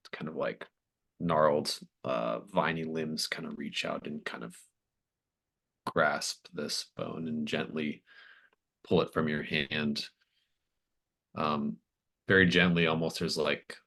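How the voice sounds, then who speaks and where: Very low-pitched halting game master. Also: creaky, a bit bright. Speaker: game master of a tabletop roleplaying game. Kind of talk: improvised game narration.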